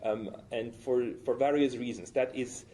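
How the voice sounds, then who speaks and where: A man's voice: medium pitch, steady tone, a little slow, mellow. A man lecturing in public, explaining spontaneously and often repeating phrases as he goes. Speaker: academic lecturer.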